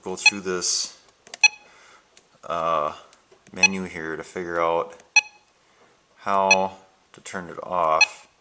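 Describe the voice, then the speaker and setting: Very deep-voiced American man, hesitant and casual. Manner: hesitant, unscripted, thinking aloud. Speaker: amateur video maker showing his gadgets. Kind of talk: casual home show-and-tell. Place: indoors at home and in a workshop. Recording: noisy amateur home video with handling clicks.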